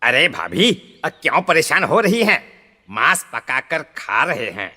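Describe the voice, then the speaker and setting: A man playing a jumpy, timid village farmer in a horror audio story, excitable and exclaiming. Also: on the high side, a little slow, heavily acted. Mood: coaxing. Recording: long reverb, faint music.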